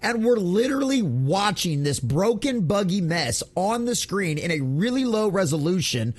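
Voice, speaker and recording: Guy with a pretty high voice doing gaming news rants, super emphatic, kinda mocking and theatrical. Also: sing-song, normal speed. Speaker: gaming news commentator and channel host. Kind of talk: opinionated news commentary to an online audience.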